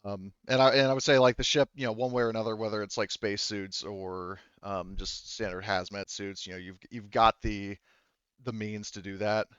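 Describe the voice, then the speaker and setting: A man narrating a tabletop roleplaying game as game master, halting and thinking aloud as he goes, through dull lo-fi audio. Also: fairly low pitch, flat delivery, a bit bright, clear, resonant tone, no room sound.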